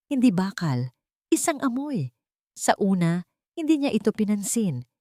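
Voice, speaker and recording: A woman narrates a dramatic romance story from a script. Her pitch is a little lower than average. The recording is close and dry, with no room sound. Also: darkish tone, no room sound.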